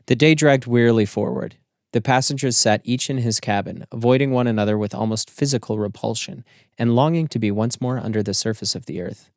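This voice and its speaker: A man narrating a literary story as an audiobook, in a measured, formal storytelling manner. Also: medium pitch, no echo, clean studio sound.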